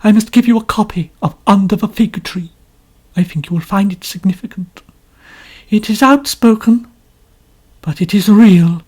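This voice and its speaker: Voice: mid-range voice, moderate pace, pauses often, darkish tone, breathy edge, pitched above the performer's own voice, natural voice. A narrator voicing a pompous, melodramatic older woman novelist who talks in a complaining way. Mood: gushing.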